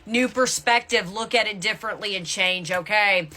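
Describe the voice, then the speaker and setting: A woman's voice: mid-range voice, normal speed, pushed and bright. A woman tarot reader talking casually and candidly to her viewers through an intuitive reading, with emphatic asides.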